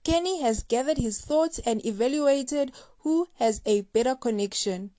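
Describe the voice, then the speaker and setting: Woman, high voice, dry close sound, playful gossipy recap narration, slangy. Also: unhurried, mellow, no echo. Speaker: host of a reality TV recap show.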